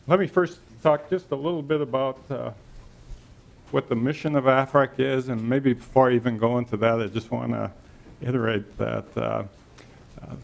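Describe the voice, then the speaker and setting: A man, a government agency manager, giving a formal, polite and measured presentation at a committee hearing, with some hesitant phrasing. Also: medium pitch, creaky. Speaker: state agriculture department section manager. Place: legislative committee hearing room.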